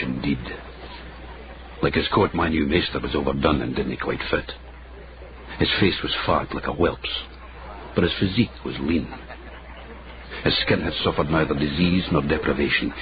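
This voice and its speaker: A man acting a wry, world-weary narrator in a radio drama, speaking in a measured, sardonic way. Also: rumbling low. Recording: dull, noisy radio drama recording.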